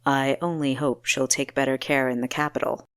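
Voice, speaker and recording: A woman's voice: a bass voice, flat delivery, normal speed, clean tone, no room sound, studio quality, voice pushed down. Woman playing stern young lord, grave and authoritative. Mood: sharp. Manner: sharp, admonishing, authoritative. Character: stern, weary seventeen-year-old male ruler, grave beyond his years.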